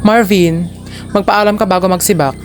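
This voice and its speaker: Woman acting as exasperated station boss, mid-pitched, Filipino accent, comedic radio drama, faint noise. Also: steady tone, moderate pace, lightly acted. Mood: stern, fed up.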